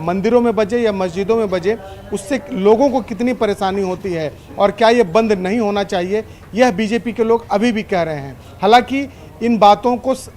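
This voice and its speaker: High-voiced male news correspondent reporting live. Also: darkish tone, a little hiss or hum. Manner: long run-on explanatory sentences, repeating points.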